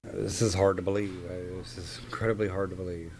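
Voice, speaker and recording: A man's voice: sits low, level tone, fairly quick, darkish tone, slight background noise. A man being interviewed in a TV news soundbite.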